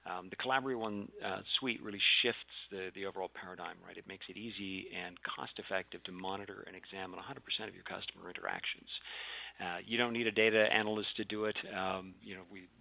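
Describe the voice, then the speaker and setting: A man's voice: deep voice, steady tone, fast talker, gravelly, highs cut off. A man giving a webinar introduction as a panelist, with many fillers and restarts. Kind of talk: webinar presentation.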